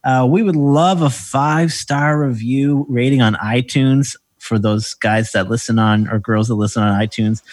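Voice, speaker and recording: A man co-hosting a podcast who talks casually in a modest, halting way. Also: mid-range voice, no room sound, clean studio sound. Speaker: podcast co-host. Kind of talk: casual chat.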